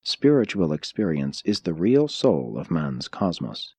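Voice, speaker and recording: A man narrates an audiobook in a low voice with a husky edge. His delivery is formal and measured, yet the intonation moves widely as he reads scripted prose. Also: sing-song, darkish tone, no room sound. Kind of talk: scripted reading of religious philosophy.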